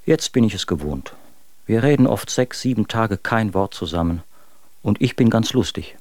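Low-voiced actor as a tormented poet husband. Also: male voice, animated, moderate pace, a little mellow, no echo, lightly acted. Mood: wry, bitter. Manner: literary, confessional, dramatic. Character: tormented, bitter young poet and husband writing letters. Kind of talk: German radio drama, literary letter reading.

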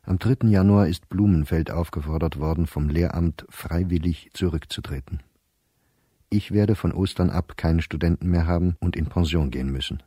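An actor playing a weary middle-aged professor reading his private diary in a radio play, measured and reflective. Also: low-pitched, no room sound, clean studio sound. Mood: sober. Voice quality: dark, husky.

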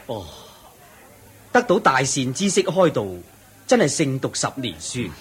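A man's voice: mid-range voice, sing-song, a little slow, slightly rough, exaggerated voice. A man playing a devout, respectful almsgiver in a Cantonese radio drama, speaking earnestly and with reverence. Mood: admiring.

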